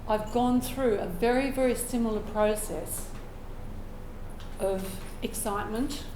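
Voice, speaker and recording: A woman with an Australian accent, croaky from a cold, telling an earnest personal story to a live audience and clearing her throat now and then. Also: on the high side, measured pace, reverberant, background noise. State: hoarse from a cold. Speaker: open mic storyteller.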